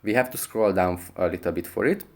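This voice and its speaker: A man's voice: low voice, fast talker. A man narrating a step-by-step app tutorial as its host. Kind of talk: scripted app tutorial voice-over.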